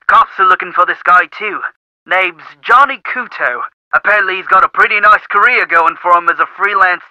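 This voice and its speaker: A man's voice: high voice, bright, forceful tone, no echo, dull audio, exaggerated voice. An actor voicing a curt, casual male pawn shop clerk in a video game.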